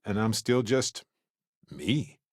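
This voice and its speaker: An actor playing an easygoing, good-hearted male space explorer in a video game, with a wry, chatty and playfully expressive delivery. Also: lowish voice, takes it slowly, no room sound, studio quality. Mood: earnest, reflective. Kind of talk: video game character dialogue.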